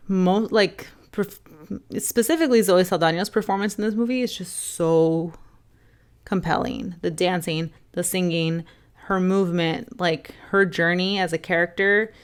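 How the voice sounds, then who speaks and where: A young woman vlogger casually reviewing films to camera, rambling with lots of fillers and restarts, a little hoarse. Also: medium pitch. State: slightly hoarse. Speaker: film vlogger ranking movies.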